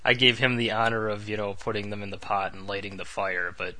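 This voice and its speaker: A man's voice: fairly low pitch, little pitch movement, brisk, bright, forceful tone, rough recording. A man co-hosting a podcast in casual, rambling chat with wry, sarcastic asides. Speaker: podcast co-host.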